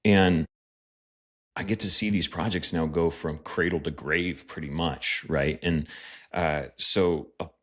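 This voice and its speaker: A man's voice: sits low, fairly even intonation, measured pace, a bit bright. A man speaking as a podcast guest, earnest and reflective, with plenty of fillers as he thinks aloud. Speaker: guest, pastor and media producer. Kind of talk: casual podcast conversation.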